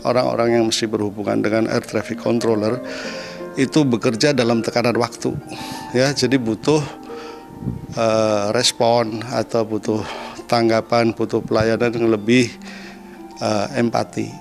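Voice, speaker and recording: A man with a lowish, creaky voice gives an explanation in an interview. He has an Indonesian accent and speaks haltingly, with clear music behind him. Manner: halting, repeats words.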